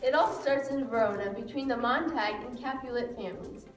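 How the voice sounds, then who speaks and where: A woman's voice: fairly high pitch, a little fast. A woman reading scripted narration for an amateur puppet show. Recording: echoey room, distant mic, music behind.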